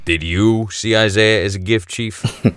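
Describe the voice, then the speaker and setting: An actor playing an easygoing, middle-aged veteran police sergeant in an audio drama, wry and unflustered. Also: male voice, on the low side, fairly even intonation, a little slow, fairly bright tone, clean tone, dry recording, clean studio sound.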